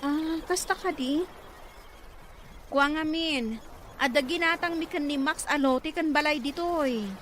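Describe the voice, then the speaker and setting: A very high female voice with a Filipino accent over faint noise. A woman acting as a grown daughter working overseas, explaining herself in a radio drama phone call. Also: a little slow, pauses often, a bit bright. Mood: explaining, earnest. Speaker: actor in an audio drama.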